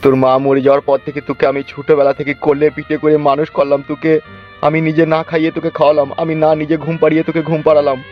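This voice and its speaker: An indignant, shame-stricken village father put on by a male cartoon actor scolds and laments. His voice is high and very quick, recorded a little dully with music behind. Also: monotone, lightly acted. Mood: wounded, scolding. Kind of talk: cartoon comedy-drama voice acting. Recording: dull audio with music behind.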